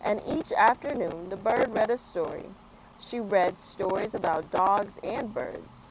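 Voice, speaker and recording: A woman hosting a children's story time, reading and chatting in an expressive manner. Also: mid-range voice, a loud crowd, poor audio. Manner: expressive, spells words out slowly. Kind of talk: children's picture book read-aloud. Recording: clear background noise.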